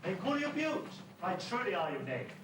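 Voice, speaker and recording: A man as a high-voiced courtly pantomime Beast. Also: sing-song, normal speed, recorded from a distance. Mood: courtly wooing. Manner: declamatory, formal. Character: courtly, lovelorn male Beast, commanding yet tender. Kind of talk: amateur pantomime acting, rhyming verse. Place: school hall stage, far camera. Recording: echoey, noisy amateur stage recording from afar.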